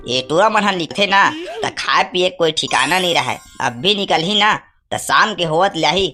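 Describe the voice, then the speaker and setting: A man's voice: a little high, a bit bright. Man playing a scolding Chhattisgarhi village dad, noisy recording. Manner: nagging, threatening. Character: grumbling, scolding village father of two boys. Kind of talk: cartoon comedy acting.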